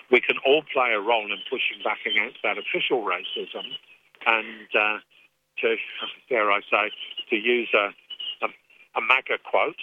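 An English man with a fairly low voice, phoning in as an activist guest on a radio show. He speaks in an earnest, measured way and often restarts his sentences. The sound is thin and narrow, as over a phone line. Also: slight background noise.

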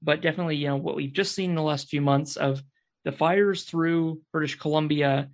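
A man speaking earnestly as a guest in a panel discussion, pausing to search for words. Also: fairly high pitch, steady tone. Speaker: podcast guest.

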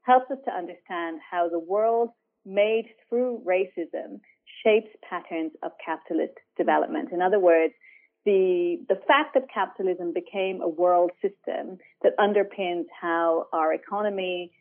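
Woman from ireland on a phone line, lowish voice, calm and explaining stuff like a lecturer. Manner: measured, thoughtful, explanatory. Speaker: academic guest. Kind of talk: radio interview, explaining. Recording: phone-line remote interview.

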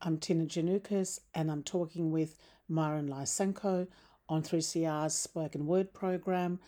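Soft-spoken woman radio host. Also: low voice, fairly even intonation. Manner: measured, careful questions. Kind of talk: radio interview.

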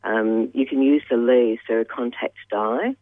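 A woman's voice: very deep voice, dry recording. Woman calling in by phone. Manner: halting, frequent "um"s. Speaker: caller. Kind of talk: phone-in radio chat. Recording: tinny phone line.

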